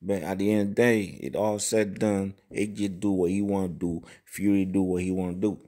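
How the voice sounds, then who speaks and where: A man with a fairly low voice delivers casual boxing commentary to his subscribers. He swears freely and keeps asking whether you know what he means. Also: level tone, fairly quick, mellow. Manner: profane, repetitive, keeps saying "you know what I mean". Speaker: boxing channel host.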